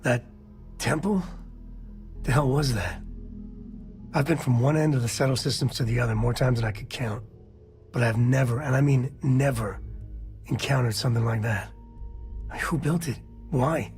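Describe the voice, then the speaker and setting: A man's voice: mid-range voice, normal speed, pauses often, darkish tone, lightly acted. Game companion: male actor as easygoing space cowboy, plain-spoken, concerned, faint music bed. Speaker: companion character. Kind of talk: video game voice acting.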